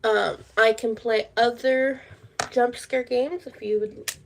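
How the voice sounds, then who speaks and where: A woman's voice: on the high side, fairly even intonation, slow pace, gravelly. A woman hosting a casual livestream, chatting in a rambling way and repeating her words. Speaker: livestream host.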